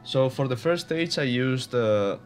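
A man's voice: mid-range voice, lively intonation, measured pace, soft background music. A young man from Spain with a Spanish accent, giving casual, hesitant commentary over gameplay with many fillers and restarts. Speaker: gaming YouTuber.

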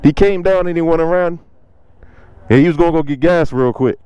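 A man vlogging casually from a motorcycle meetup outdoors, talking to viewers in relaxed slang through a helmet or body camera mic. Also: a little high, fairly quick, lo-fi sound. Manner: laid-back slangy talk to viewers. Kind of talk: casual vlog narration. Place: outdoors at a parking lot meetup. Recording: loud wind and engine noise outdoors.

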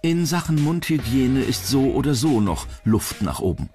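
A man's voice: medium pitch, lively intonation, normal speed. A man reading scripted narration for a TV countdown documentary. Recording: music behind the voice. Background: background music.

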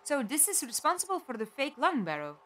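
Casting: actress as plucky, practical, questioning female companion for audio drama; high voice, animated delivery. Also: fairly quick, slight background noise. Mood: curious, probing. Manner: questioning, direct. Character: inquisitive, plucky, practical young woman companion.

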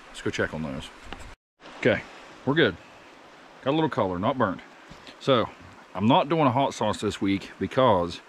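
A man's voice: medium pitch, slight background noise. Easygoing man rambling outdoors at camp. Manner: rambling, easygoing, thinking out loud. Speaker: camping cook vlogger. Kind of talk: casual talk to camera while cooking. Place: outdoors at a wooded campsite by a stream.

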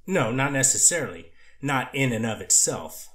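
A man's voice: medium pitch, big pitch swings, moderate pace, a bit bright, reverberant. A man playing a hedging counselor witness. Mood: careful. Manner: hesitant, restarts and fillers. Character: male treatment counselor witness, hedging and careful. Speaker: treatment program counselor testifying. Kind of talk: readers theater courtroom reading.

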